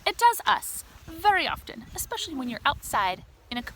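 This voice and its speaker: Woman, lively swooping intonation, children's read-aloud, chatty questioning asides, outdoor recording with clear background noise. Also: high-pitched, brisk. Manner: animated, asking questions of young listeners. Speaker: teacher reading a picture book aloud. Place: outdoors in a communal space by a river.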